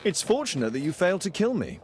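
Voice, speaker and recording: An actor playing a sharp, formal Victorian gentleman detective in a video game, with a British accent and precise, authoritative delivery. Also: high-pitched, big pitch swings, quick pace, slight background noise. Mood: stern, measured. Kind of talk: dramatic video game acting.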